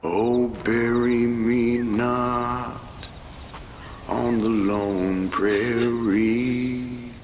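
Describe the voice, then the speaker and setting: An actor playing a solitary, mournful cowboy who sings an old folk ballad in a game cutscene. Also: male voice, mid-range voice, level tone, a little hiss or hum. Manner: drawn-out, sung delivery.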